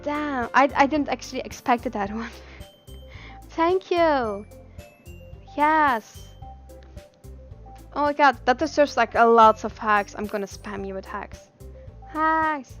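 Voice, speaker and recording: A woman from Belgium with a Dutch accent and Dutch as her native language, live streaming in a giggly, expressive way and sometimes stumbling over words. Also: a little high, slow, pauses often, soft background music. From Belgium, Dutch-speaking. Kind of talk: live stream chat and reading game text aloud.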